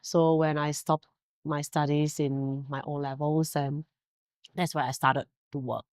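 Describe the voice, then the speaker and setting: A woman's voice: low voice, little pitch movement, measured pace, a little mellow, no room sound, clean studio sound. A Singaporean woman in her forties whose first language is Mandarin, telling her career story in a casual interview with frequent laughs and fillers. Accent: Singaporean English. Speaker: guest, hotel director.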